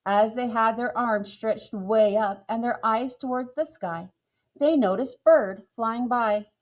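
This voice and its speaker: A woman narrating a children's picture book in a calm, clear way. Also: a little high, slow pace, dull audio. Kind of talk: children's storybook reading.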